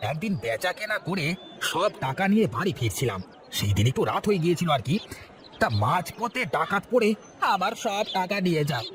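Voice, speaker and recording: A man's voice: sits high, big pitch swings, a little fast. A man voicing a sly, scheming village thief in a cartoon, theatrical and wheedling. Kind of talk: cartoon voice acting. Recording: clear ambient noise behind voice.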